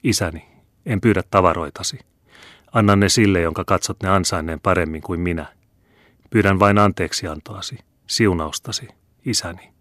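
A man's voice: sits low, fairly bright tone, no room sound, studio quality. A man voicing a proud young officer who speaks to his father earnestly and firmly. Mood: pleading.

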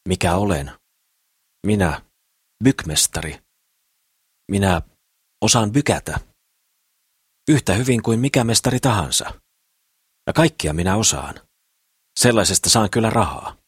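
Man playing a husky, boastful lovestruck builder. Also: lowish voice, expressive, long pauses, dry recording, clean studio sound, voice pushed up. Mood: boastful, excited. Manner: boastful, rambling, slangy. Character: eager, boastful, simple lovestruck builder man courting a girl. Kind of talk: audiobook character voice.

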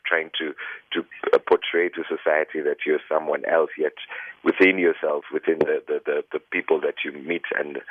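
A man with a strikingly deep, low voice answers interview questions over a tinny phone line. He speaks earnestly, pausing on fillers and restarting his phrases as he gathers his thoughts. The line is dry, with no room sound around him. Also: dry recording. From Kenya. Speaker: human rights lawyer, interviewee.

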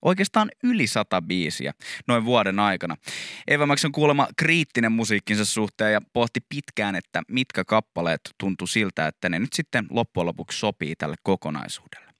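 A man's voice: lowish voice, animated, fast, fairly bright tone, no echo, studio quality. A man presenting a radio segment, chatty with small slips and fillers.

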